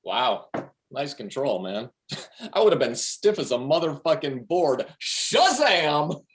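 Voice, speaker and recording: A man's voice: a little high, sing-song, slow pace. An actor in a play table read over video call, playing a crude, cocky male buddy with a profane, sarcastic manner. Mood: brash hype. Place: remote video call reading.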